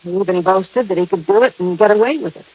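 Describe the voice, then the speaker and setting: A woman's voice: mid-range voice, fast, lightly acted. An American actress playing an anxious, coy, earnest young woman client in a 1950s radio drama. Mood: grave, earnest. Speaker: actress in a radio detective series. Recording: muffled old radio recording.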